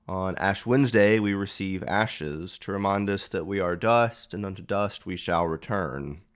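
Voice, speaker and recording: A man preaching a reflective homily in measured, deliberate phrases. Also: low voice, smooth.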